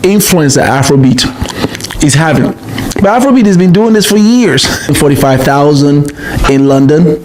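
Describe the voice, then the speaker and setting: A man's voice: a little high, lively intonation, moderate pace, a little mellow, slight background noise, rough recording. A man giving casual commentary in short, emphatic sentences.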